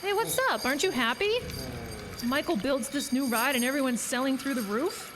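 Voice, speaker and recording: An actress playing a brash, bossy, excitable young carnival woman in a horror audio drama, sassy and pushy. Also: sits high, normal speed, background noise, natural voice. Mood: excited, sassy.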